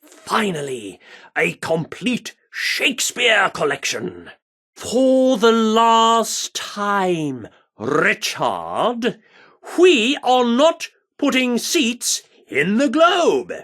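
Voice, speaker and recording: Game role: Shakespeare-mad theatre director, grandiose and earnest, swooping intonation, fairly high male voice. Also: very animated, drawn-out words, a bit bright, heavily acted. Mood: eager, excited, then indignant, suspicious. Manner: theatrical, grandiloquent, archaic turns of phrase. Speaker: voice actor as game character. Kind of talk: theatrical video game character dialogue.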